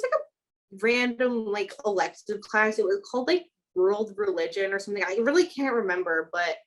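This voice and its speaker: A woman's voice: medium pitch, rough recording. A woman in her twenties from Chicago, a student midwife telling her story as a podcast guest in a chatty way, full of "like" fillers. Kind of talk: casual interview chat.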